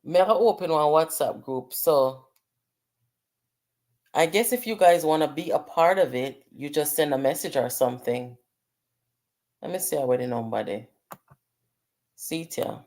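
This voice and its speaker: A woman's voice: low voice, expressive, unhurried, long pauses, darkish tone. A middle-aged Jamaican woman hosting a casual livestream. She chats with viewers, reads out their comments and slips between patois and English. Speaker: livestream host.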